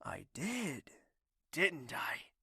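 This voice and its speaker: An actor playing a proud, wounded young male royal with a fierce temper in an audio drama, speaking in an intense, imperious and deliberate way. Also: fairly high pitch, sing-song, creaky, bright, forceful tone, slightly rough, no echo, studio quality, heavily acted. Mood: cold, menacing. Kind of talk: dramatic audio drama acting with narrated inner thoughts.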